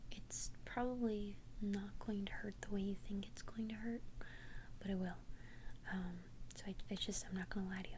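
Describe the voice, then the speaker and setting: A woman playing a protective vampire best friend in a whispered ASMR roleplay, speaking breathily in a soothing, halting, reassuring way. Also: mid-range voice, a little mellow, slight background noise, lightly acted, rough recording. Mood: gentle, cautious.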